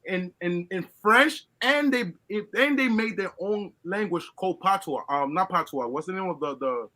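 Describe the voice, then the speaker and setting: High, bright-voiced man from Colombia. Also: animated, moderate pace. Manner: earnest, lecturing, many restarts. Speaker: guest caller. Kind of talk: casual opinion talk.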